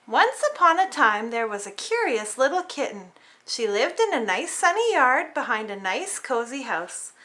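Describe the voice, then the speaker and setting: A woman reading a children's storybook aloud in an animated, dramatic way for small children. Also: high voice. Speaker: storybook reader. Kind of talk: expressive children's read-aloud narration.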